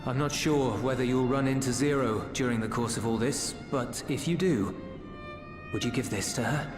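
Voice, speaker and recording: An actor playing a caring, slightly awkward warrior in a fantasy video game, reserved and a little hesitant. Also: male voice, medium pitch, moderate pace, echoey room, music in the background, natural voice. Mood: awkwardly caring.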